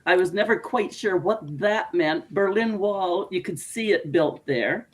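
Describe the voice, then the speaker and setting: A woman's voice: lowish voice, measured pace. A woman from Canada hosting a casual interview over a video call, chatty and laughing often. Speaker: podcast host.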